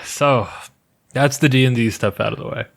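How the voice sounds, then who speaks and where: A man's voice: medium pitch, normal speed, creaky. A man co-hosting a podcast, presenting folklore research in a loose, jokey way with restarts. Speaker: podcast co-host. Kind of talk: casual podcast chat, presenting researched lore.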